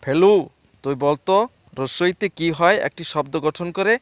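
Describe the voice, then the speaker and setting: Guy doing a stern flustered cartoon teacher, really high pitched for a man, scolding, dry sound. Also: measured pace, no room sound, natural voice. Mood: quizzing, approving. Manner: scolding, theatrical. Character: exasperated, stern male schoolteacher, easily flustered. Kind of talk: comedy cartoon dialogue.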